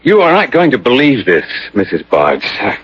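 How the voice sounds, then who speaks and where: An actor in an old-time radio drama playing an ordinary young husband, affable, baffled and skeptical, in a casual manner. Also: male voice, mid-range voice, huge pitch swings, normal speed, breathy edge, no echo. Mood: puzzled. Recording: dull lo-fi old radio recording.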